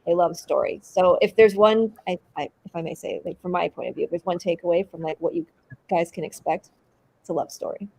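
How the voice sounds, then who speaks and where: A woman's voice: fairly low pitch, a little fast, gravelly, a little mellow, slight background noise. A woman chatting casually as an actress in a live interview, informal and emphatic.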